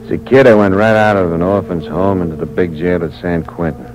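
An actor playing a bitter, weary young ex-convict in a 1940s radio crime drama, with a wry, slangy, hard-boiled manner. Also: male voice, low-pitched, brisk, darkish tone, soft background music, close to an everyday voice. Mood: bitter, brooding. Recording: dull, noisy old radio recording.

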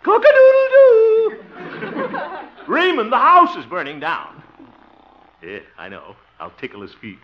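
A man's voice: very high-pitched, wildly swooping pitch, measured pace, pauses often, full character voice. An actor playing a blustery, self-satisfied, jovial man in 1950s radio comedy, theatrical and full of chuckles and exclamations. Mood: exasperated, playful. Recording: muffled, noisy vintage radio recording.

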